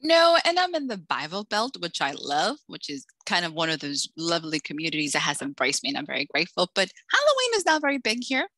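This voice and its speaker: A woman's voice: fairly low pitch, fast, hardly any pauses, no echo. A middle-aged woman author from the Dominican Republic, raised in Massachusetts, chatting in a casual interview and acting out the voices of people in her stories. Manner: animated storyteller, acts out quoted voices. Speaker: author guest.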